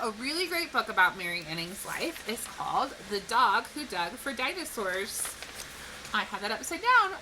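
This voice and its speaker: A woman speaking like a teacher to young children, explaining things clearly and posing questions in an educational read-aloud. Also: a little high, background noise. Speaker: teacher reading a picture book to children.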